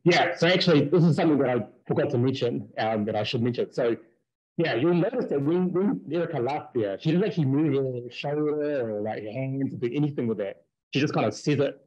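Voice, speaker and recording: A man researcher talking casually on a video call, with many fillers and quick yeps. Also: medium pitch, a little mellow, lo-fi sound. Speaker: researcher presenting a talk. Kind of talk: conference talk and Q&A. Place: indoors, small room. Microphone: headset on video call.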